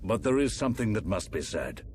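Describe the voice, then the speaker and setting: Deep-voiced, solemn fantasy emperor. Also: male voice, sing-song, a little mellow, slight background noise. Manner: formal, measured, grave. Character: solemn aging emperor, stately fantasy ruler and father. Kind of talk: dramatic video game voice acting.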